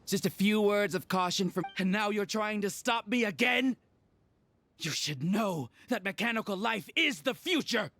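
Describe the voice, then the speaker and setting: Fanatical scholar villain, high-pitched, ranting, declamatory, video game drama. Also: male voice, expressive, moderate pace, a bit bright. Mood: bitter, fanatical. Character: fanatical male scholar villain obsessed with mechanical life.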